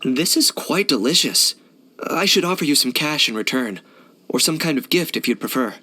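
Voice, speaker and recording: A man's voice: on the high side, expressive, normal speed, bright, forceful tone. A man playing a cool, pragmatic young hunter and mercenary in a video game, composed and matter-of-fact with a dry delivery. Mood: calm, polite. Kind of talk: video game character acting.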